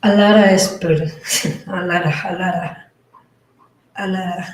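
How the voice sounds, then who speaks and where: Female guest with a Spanish accent, halting speech, mixes in Spanish, roomy sound. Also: fairly low pitch, fairly even intonation. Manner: halting, mixing English and Spanish. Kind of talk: conversational interview.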